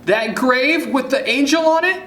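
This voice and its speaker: A man voicing a frightened, excitable young child in a storybook reading. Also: very high voice, slow pace, recorded from a distance, pitched above the performer's own voice, exaggerated voice. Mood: excited. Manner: panicky, stammering. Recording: roomy echo with background noise.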